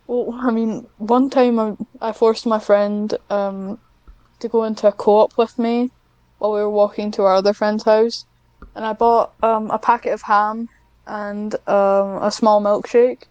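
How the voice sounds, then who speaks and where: A woman's voice: mid-range voice, little pitch movement, unhurried, a little mellow, smooth, no room sound, muffled recording. A young woman with a British accent, chatting casually as a podcast guest and telling stories in a rambling, giggly way. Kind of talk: casual chat.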